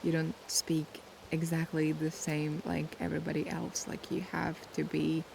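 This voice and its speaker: A young woman chats softly in a relaxed ASMR Q&A, unhurried and reflective as she searches for words. Her voice stays level and flat, with a gravelly fry running through it. Steady sea noise washes behind her outdoors. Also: low-pitched. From Latvia. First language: Latvian. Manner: soft, unhurried and thoughtful, pausing to search for words. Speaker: ASMR creator. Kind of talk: casual ASMR Q&A chat. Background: sea waves.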